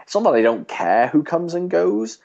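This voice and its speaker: A man co-hosting a podcast, casually discussing a TV show in a halting, thinking-aloud way. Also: fairly high pitch, expressive, vocal fry, a little mellow, highs cut off. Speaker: podcast co-host.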